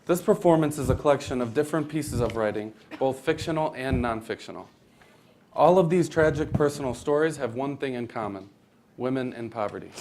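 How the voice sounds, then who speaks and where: A man's voice: mid-range voice, darkish tone, slight background noise. A young American man reading scripted narration for a readers theater performance, formal, with occasional stumbles over the text. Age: young adult.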